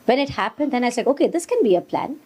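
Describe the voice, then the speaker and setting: A woman's voice: on the high side, sing-song, fast, a little mellow, breathy edge. A woman in her fifties chatting casually as an interview guest, telling her own story with wry, jokey touches. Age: 50s. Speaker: interview guest, comedian and ventriloquist.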